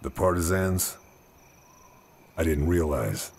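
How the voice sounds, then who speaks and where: An actor playing a terse, stoic Allied secret agent in a Second World War video game, measured and serious. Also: male voice, low voice, animated, creaky, darkish tone, slightly rough, heavily acted. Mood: terse, guarded. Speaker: soldier protagonist. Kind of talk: video game dialogue and narration.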